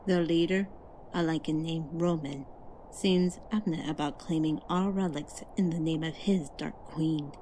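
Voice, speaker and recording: A woman's voice: sits low, fairly even intonation, normal speed, mellow, a little hiss or hum, close to an everyday voice, poor audio. A woman voicing a blunt, disdainful elven sister in an ASMR fantasy roleplay. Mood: grim. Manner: blunt and disdainful, drops into whispers.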